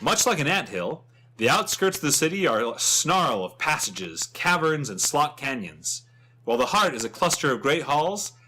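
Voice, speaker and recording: A man's voice: medium pitch, bright, forceful tone. A man playing a chatty, wryly humorous travel writer, narrating a fantasy guidebook with theatrical expression.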